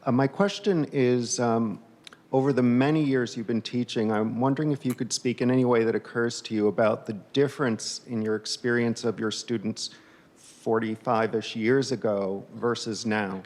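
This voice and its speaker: A man in the audience asking a polite, deliberate question. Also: medium pitch, steady tone, a little mellow. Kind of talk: question from the floor.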